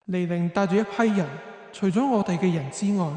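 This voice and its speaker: A solemn young hero out for revenge, acted by a man, narrating a radio drama. His voice is quite high for a man and washed in roomy, long reverb. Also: fairly quick, a little mellow, close to an everyday voice. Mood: grave, tense.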